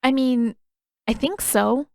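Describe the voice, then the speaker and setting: A woman's voice: high-pitched, slow pace, creaky, darkish tone, dry recording, studio quality, voice pushed up, exaggerated voice. A woman voicing an eager, innocent young boy in an audiobook story, earnest and full of questions. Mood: hesitant.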